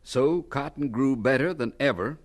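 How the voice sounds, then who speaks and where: A man's voice: mid-range voice, normal speed. A man narrating and announcing a scripted radio drama in a formal storytelling style.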